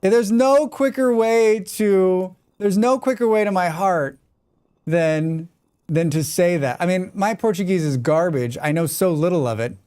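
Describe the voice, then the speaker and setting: A man's voice: high-pitched, a little slow, darkish tone, smooth, dry recording. Chatty American man streaming a game. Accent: General American. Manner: rambling, chatty, reads chat aloud. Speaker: live streamer. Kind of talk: casual chat while gaming.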